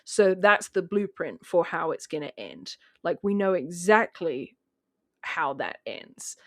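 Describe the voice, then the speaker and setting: A woman's voice: lowish voice, big pitch swings, slow pace, dry recording. Woman chatting casually with very dry, close sound. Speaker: podcast guest discussing a film. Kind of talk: casual film discussion.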